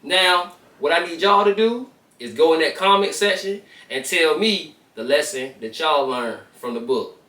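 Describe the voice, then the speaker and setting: An American man with a notably high voice hosts a children's read-aloud. His delivery is casual storytelling mixed with informal chat, full of everyday slang aimed at young listeners. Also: fairly bright tone, roomy sound, far from the mic.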